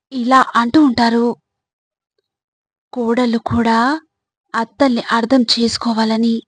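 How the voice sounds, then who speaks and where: A woman delivering scripted motivational advice in a measured, instructive way, speaking directly to the listener. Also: a little high, slightly rough, no room sound.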